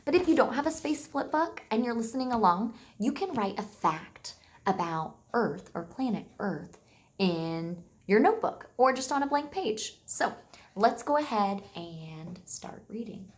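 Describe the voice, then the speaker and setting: A woman teacher reading aloud and giving a simple lesson to young children, enunciating key words deliberately. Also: fairly high pitch, animated. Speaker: early elementary school teacher. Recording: echoey room sound.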